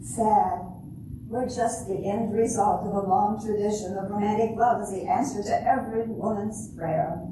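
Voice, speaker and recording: A woman's voice: mid-range voice, steady tone, moderate pace, close to an everyday voice. Heard at a distance in a noisy, very reverberant hall, an older woman acts a jaded fairy-tale princess after divorce. Her delivery is dry and wry, in the manner of an amateur staged comedy reading. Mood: disillusioned. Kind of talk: amateur readers' theater comedy scene. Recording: echoey hall from far off, noisy.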